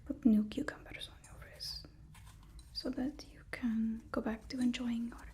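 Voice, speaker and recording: A woman's voice: fairly high pitch, little pitch movement, unhurried, mellow. A woman doing a whispered ASMR role-play as a supervillain's timid, apologetic assistant, speaking in a hesitant, placating way. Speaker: ASMR performer playing a villain's personal assistant.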